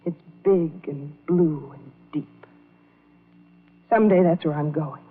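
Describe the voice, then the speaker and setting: A woman's voice: lowish voice, normal speed, husky. A woman acting an unhappy, pleading wife with a melodramatic manner in an old-time radio drama. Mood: miserable, dramatic. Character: unhappy, pleading young wife confiding in a man. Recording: muffled old radio recording.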